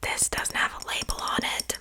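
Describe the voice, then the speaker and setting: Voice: moderate pace, natural voice. Whispering, gossipy witch, played by an ASMR performer. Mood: calm, matter-of-fact. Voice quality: breathy whisper. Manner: soft, chatty, casually menacing. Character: gossipy witch with fae blood, cooking her guest.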